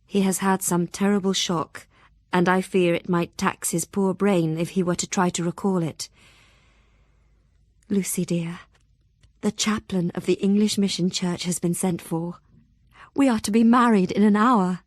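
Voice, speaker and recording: A woman's voice: lowish voice, steady tone, a little slow, dry recording, clean studio sound. An actress playing a devoted, anxious young Victorian woman reading her journal and letters aloud in an audio drama, earnest and tender. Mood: tender, concerned.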